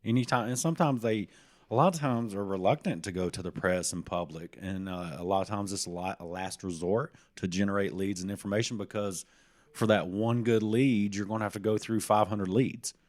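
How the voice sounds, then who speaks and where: A man co-hosting a true crime podcast, chatting casually and throwing in jokes and quick reactions. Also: fairly low pitch, steady tone. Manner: jokey, reacts with quips and mock voices. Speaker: podcast co-host.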